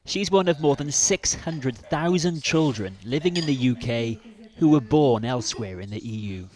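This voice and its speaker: A woman reporter reading scripted news narration in a measured, clear delivery. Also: rumbling low, lively intonation, a little mellow.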